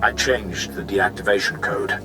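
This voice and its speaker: A grave, deep-voiced Sith lord. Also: male voice, music in the background. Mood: grave, challenging. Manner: formal, measured, solemn. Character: grave, honor-bound Sith warrior lord, a male villain. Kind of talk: dramatic video game acting.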